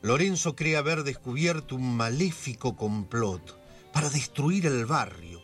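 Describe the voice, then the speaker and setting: Neighborhood storyteller for radio drama, Porteño accent, mid-range voice, leisurely and expressive. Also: male voice, mid-range voice. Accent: Argentine, Buenos Aires. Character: storyteller narrator who knows the neighborhood intimately. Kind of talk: scripted radio drama narration.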